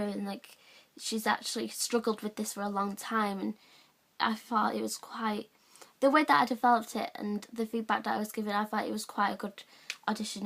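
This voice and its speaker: A young drama student talks through her audition choices, stopping and restarting with lots of fillers. Her voice sits in a middle pitch but moves very little, with a gravelly edge. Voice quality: high-pitched voice, by her own account. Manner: halting, with many "like" and "um" fillers. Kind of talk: reflective spoken account.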